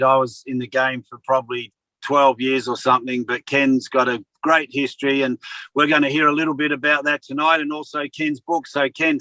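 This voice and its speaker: A man's voice: medium pitch, bright, forceful tone, no room sound, poor audio. An older Australian man, a former wrestler and actor, co-hosting a casual interview with a chatty, joking manner. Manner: chatty, jokey, trails off searching for names.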